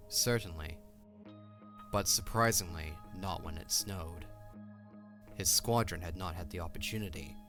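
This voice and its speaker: A man narrating a fantasy audio drama as a scripted storyteller. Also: sits low.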